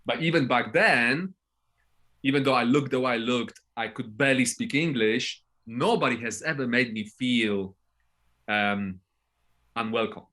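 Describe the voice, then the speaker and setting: A man's voice: medium pitch, a little slow, pushed and bright. A man in his thirties with a Polish accent, telling stories casually and bluntly as a podcast guest. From Poland. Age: 30s. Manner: blunt, sweary, tags phrases with "right?". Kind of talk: casual interview storytelling.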